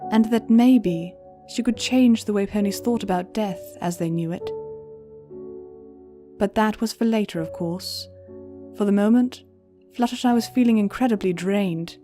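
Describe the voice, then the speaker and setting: A woman's voice: medium pitch, warm tone. A woman reading scripted story narration as an audiobook narrator.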